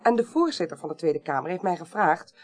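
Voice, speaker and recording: A woman's voice: lowish voice, very animated, fairly quick. An actress playing a commanding senior female parliamentary clerk in a 1980s radio drama, formal, decisive and dryly wry. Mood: polite, businesslike.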